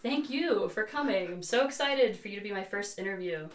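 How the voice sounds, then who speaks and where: A woman's voice: mid-range voice, lively intonation, brisk. A woman hosting a travel podcast interview, eager and chatty as she introduces and questions her guest. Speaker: podcast host. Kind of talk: casual interview chat.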